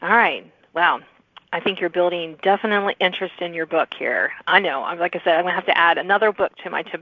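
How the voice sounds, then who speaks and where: A woman's voice: lowish voice, fast talker, no room sound. Woman podcast host, dull lo-fi sound. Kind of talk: casual author interview. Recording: muffled, lo-fi audio.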